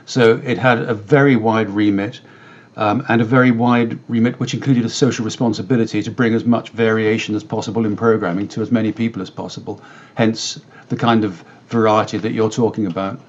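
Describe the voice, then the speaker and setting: A British man giving measured, articulate explanations as an expert guest in a conversational interview. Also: fairly low pitch, steady tone, highs cut off. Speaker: company director interviewed as guest.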